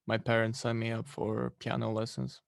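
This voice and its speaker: A young man from Poland, a musician guest, answering interview questions in a relaxed, rambling way with lots of fillers. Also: mid-range voice, normal speed, creaky, no echo, studio quality.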